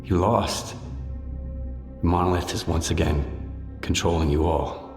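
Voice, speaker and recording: A cold male betrayer in a video game. His low, matter-of-fact voice rings in a long, hall-like reverb over music. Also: fairly even intonation, measured pace. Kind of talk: video game cutscene acting.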